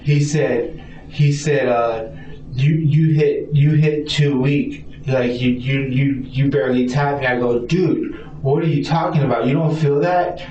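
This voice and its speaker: A man haltingly recounts an incident in a police interview, stammering, repeating himself and acting out dialogue in fragments. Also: medium pitch, fairly even intonation, dark tone. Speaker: suspect being questioned by police. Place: indoor police interview room. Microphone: distant room recording. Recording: echoey room, distant muffled mic, noisy.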